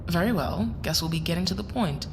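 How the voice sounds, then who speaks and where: Reverberant, low-voiced, polished wealthy woman played by an actress. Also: brisk, close to an everyday voice. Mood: guarded, polite. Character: wary, wealthy woman running secret smuggling ventures. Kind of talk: scripted audio drama acting. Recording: long reverb, clear rumbling background noise.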